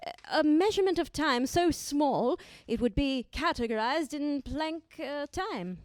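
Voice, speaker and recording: A woman acting as a scholarly, slightly scattered lady professor in a radio play. Her voice is high and swoops freely, with pedantic phrasing broken by hesitations. The sound is close and dry. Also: animated, dry recording. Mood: musing, pedantic. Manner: halting, pedantic, many uhs. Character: brainy, absent-minded woman professor, adjunct to the multiverse. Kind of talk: scripted audio drama acting.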